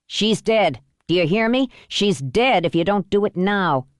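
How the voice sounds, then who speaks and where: A woman's voice: medium pitch, animated, unhurried, no room sound, clean studio sound, natural voice. A woman voicing a vicious, cornered male killer in an audiobook thriller, curt and threatening. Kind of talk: audiobook thriller character acting.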